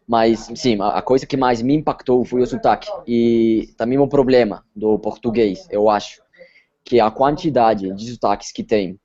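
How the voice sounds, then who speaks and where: A man's voice: medium pitch, moderate pace, mellow. A Colombian man with a Spanish accent, talking in an interview and often repeating words as he searches for his phrasing. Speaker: interview guest. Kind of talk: casual interview chat. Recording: slightly dull lo-fi sound.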